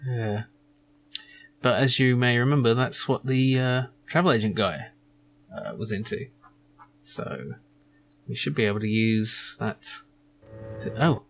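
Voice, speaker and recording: A man's voice: medium pitch, a little slow, pauses often, slight background noise. A man giving loose, rambling commentary as he plays a video game and reads its text aloud. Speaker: video game let's player.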